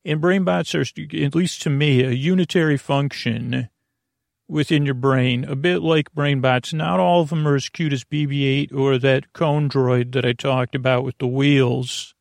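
A man hosting a bedtime podcast in a rambling, halting monologue, whimsical and gently reassuring, often repeating himself and trailing off. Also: mid-range voice, fairly even intonation, creaky, dry recording, studio quality. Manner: meandering, halting, repeats and trails off mid-sentence. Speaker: podcast host.

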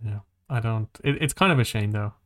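A man co-hosting a podcast in casual conversation, talking in a rambling, halting way and often rephrasing mid-sentence. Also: on the low side, a bit bright. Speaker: podcast co-host.

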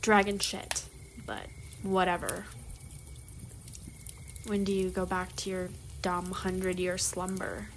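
A woman's voice: fairly low pitch, steady tone, slow, long pauses, slight background noise, low-quality recording. A woman playing a brash young werewolf in an audio drama, blunt, swaggering and sarcastic. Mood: dismissive.

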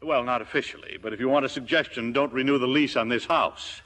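An actor playing a fretful, blustering college official in mid-century radio comedy, flustered, sputtering and insistent. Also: male voice, medium pitch, quick pace, fairly bright tone, lightly acted. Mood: awkward, apologetic. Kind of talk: 1940s-50s radio comedy acting. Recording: vintage radio broadcast.

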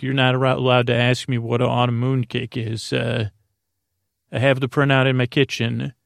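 Creaky, meandering man hosting a bedtime podcast. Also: medium pitch, dry recording, studio quality. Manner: meandering, self-deprecating, soothing, easily distracted. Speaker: podcast host. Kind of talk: rambling bedtime podcast monologue.

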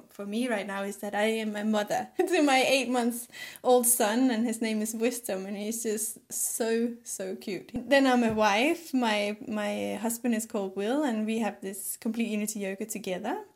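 A young woman from Denmark with Danish as her first language talks casually as a podcast guest. Her voice sits a little high, and she has a chatty, conversational delivery. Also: normal speed. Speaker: podcast guest, yoga teacher.

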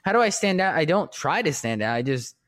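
A man whose voice sits unusually high for a guy. He talks in a shy, meandering way, circling back on his sentences with lots of restarts and fillers. The tone is loose, casual conversation. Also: very animated, fairly quick, fairly bright tone. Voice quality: distinctly high-pitched voice.

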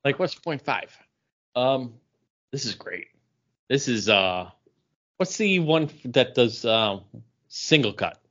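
A man co-hosting a podcast, chatting casually in an animated, rambling way. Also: medium pitch, slow pace, long pauses. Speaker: podcast co-host. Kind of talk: casual pop culture and beer chat. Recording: dull, lo-fi podcast audio.

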